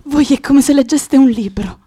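A woman's voice: sits high, normal speed, mellow, close to an everyday voice. An actress in an Italian radio drama playing a guarded, sceptical young woman with a terse, hesitant, questioning way of talking. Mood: hesitant, wounded.

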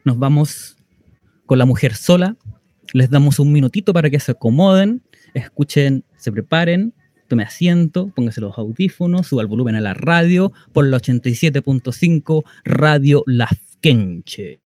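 A man's voice: mid-range voice, lively intonation, moderate pace, mellow, dry recording. A man presenting on radio, introducing a play to listeners as the host.

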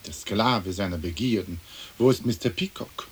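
An actor playing a dignified, earnest lord in a radio play, formal and solemn, with hiss on the old recording. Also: male voice, fairly low pitch, normal speed, close to an everyday voice. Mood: wistful. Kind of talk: stereo radio play acting.

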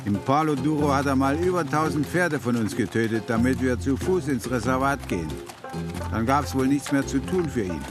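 Actor as bitter frontier guy raised comanche, mid-pitched, matter-of-fact, radio western with music behind. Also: moderate pace, close to an everyday voice. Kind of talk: German radio drama acting. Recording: music under the dialogue.

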